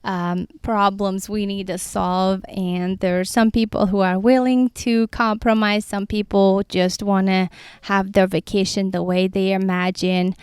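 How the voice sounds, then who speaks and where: Chatty woman rambling with a crisp, smooth voice. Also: medium pitch, little pitch movement, a little slow, darkish tone, no echo, studio quality. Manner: rambling, goes off on tangents. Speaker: podcast host. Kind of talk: casual solo talk. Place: outdoors by the sea.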